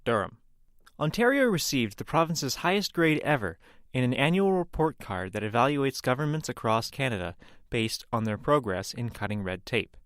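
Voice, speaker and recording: A man's voice: mid-range voice, very animated, normal speed, fairly bright tone, dry recording, studio quality. A man reading scripted news copy. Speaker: news reader.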